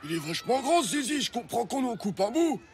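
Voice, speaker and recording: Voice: mid-range voice, big pitch swings, fairly quick, slight background noise. A voice actor playing a cheeky know-it-all young schoolboy in a cartoon, explaining things to friends in a mocking way. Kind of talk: animated comedy dialogue in French. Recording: music behind dialogue.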